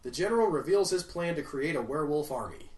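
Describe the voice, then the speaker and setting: Sarcastic male movie reviewer, lively pitch. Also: fast talker. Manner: sarcastic, swings into loud outraged rants. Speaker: film reviewer. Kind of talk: comedic movie review narration. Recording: roomy echo, mic at a distance.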